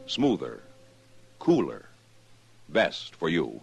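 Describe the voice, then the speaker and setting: An American man as a commercial announcer in fifties radio advertising, deliberate and emphatic. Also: rumbling low, wildly swooping pitch, gravelly, over loud music.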